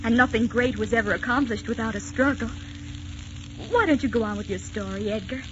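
An actress playing a tender, devoted young wife in a 1940s radio drama, earnest and romantic. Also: female voice, fairly high pitch, fairly quick, noisy background, natural voice. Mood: soothing, adoring. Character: tender, devoted, frail twenty-three-year-old wife of a writer. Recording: dull vintage 1940s radio recording, music behind.

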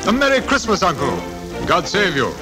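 A hearty good-natured nephew in an old radio play, highish voice, music behind. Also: male voice, sing-song, normal speed. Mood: cheerful, teasing. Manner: earnest, hearty. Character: cheerful, warm-hearted nephew of an old miser. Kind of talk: 1940s radio acting.

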